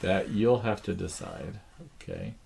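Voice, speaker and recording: Man, art teacher, raspy, gravelly, slow and unhurried, low voice. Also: wildly swooping pitch, darkish tone, a little hiss or hum. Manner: slow, unhurried, thinking aloud with many pauses. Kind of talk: drawing lesson, talking while sketching. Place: art studio.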